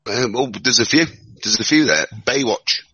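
A man's voice: medium pitch, expressive, normal speed, pushed and bright. A man with a Northern English accent in casual discussion, rambling and repeating words as he thinks aloud. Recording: muffled lo-fi recording.

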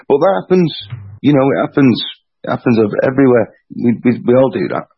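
A man's voice: mid-range voice, expressive, fast talker, slightly rough, dry recording, muffled recording. A man, a podcast guest who rambles casually, restarting and swearing offhandedly. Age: 40s. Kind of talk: casual chat.

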